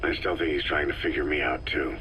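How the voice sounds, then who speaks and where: An actor playing a terse, guarded, battle-worn soldier boss in a video game, laconic and deadpan. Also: male voice, a bass voice, fairly quick, a little hiss or hum, low-quality recording. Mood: guarded, dry. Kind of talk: video game voice acting, scripted dialogue.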